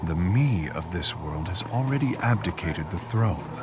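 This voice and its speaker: A grave, dignified king in a video game. The low voice is formal and measured, deadpan in tone yet swinging widely in pitch, with music clearly behind it. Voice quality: deep. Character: stoic, proud exiled king, grave and dignified. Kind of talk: scripted game dialogue.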